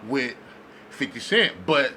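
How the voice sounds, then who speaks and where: A sharp, bright male voice of medium pitch argues on a casual podcast. He is slangy and profane, doubling back on words to push his point. Faint noise sits in the background. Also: animated, slow pace. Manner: argumentative, slangy, profane, repeats words for emphasis. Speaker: podcast co-host.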